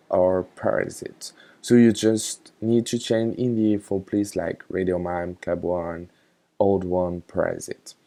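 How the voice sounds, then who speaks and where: Slow, low-pitched man with a creaky voice. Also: mellow. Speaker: software tutorial instructor. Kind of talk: casual screen tutorial narration.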